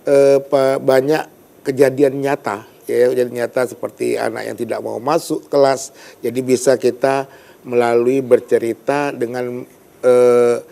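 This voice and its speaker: Gravelly low-toned guy, storyteller teacher, lots of uh's, talking on a tv show. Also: level tone, unhurried, dark tone. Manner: hesitant, searching for words with many fillers. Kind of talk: explaining in a TV interview. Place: TV studio.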